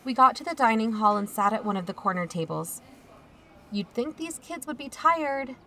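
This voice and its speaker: An actress playing a watchful, protective aunt in a first-person audio drama, conversational and wry. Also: female voice, on the high side, lively intonation, moderate pace, bright, forceful tone, slight background noise. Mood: uneasy narration. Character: watchful, protective aunt narrating her own mystery.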